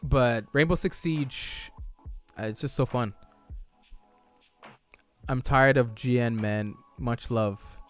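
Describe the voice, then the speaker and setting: A man's voice: mid-range voice, slow pace, long pauses, soft background music. Chatty young male streamer, voice going. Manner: chatty, reads chat aloud and answers it, loose restarts. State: losing his voice. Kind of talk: casual live-stream chat reading viewer messages.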